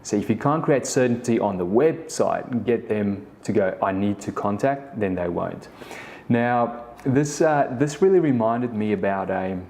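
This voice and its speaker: A man's voice: fairly low pitch, expressive, unhurried, a little mellow, slight background noise. A man in his thirties with a South African accent, Afrikaans his first language, hosting a casual solo podcast and telling a story with many restarts. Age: 30s. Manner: rambling, frequent restarts and "the thing is". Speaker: podcast host, business co-founder.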